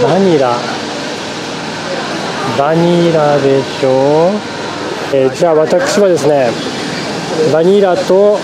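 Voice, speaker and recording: A man narrating his travel vlog in casual chat, thinking aloud with lots of fillers as he walks around a city and eats. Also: a little high. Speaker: travel vlogger. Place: outdoors on city streets and in a cafe. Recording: street noise behind, camera mic.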